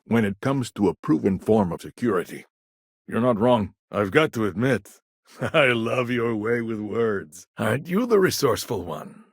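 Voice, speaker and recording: An actor playing a shrewd, courteous business negotiator in a video game, with a gravelly voice and a wry, conversational manner. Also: male voice, lowish voice, very animated, moderate pace, dry recording, studio quality, full character voice. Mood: wry, charming. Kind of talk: video game dialogue lines.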